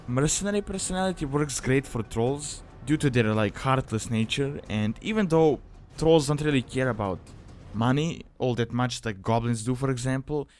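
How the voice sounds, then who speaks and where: A man's voice: mid-range voice, a little mellow, a little hiss or hum. Casting: male tutorial narrator, mid-range voice, informal and conversational, explaining things casually. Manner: informal, conversational, hedging phrases. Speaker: video guide presenter.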